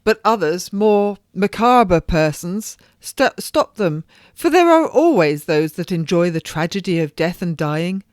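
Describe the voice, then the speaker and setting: A woman voicing an agitated genteel noblewoman who gossips and exclaims in high, theatrical bursts. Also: sing-song, a little slow, smooth, no room sound, studio quality. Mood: horrified. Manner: rising, theatrical, emphatic outbursts.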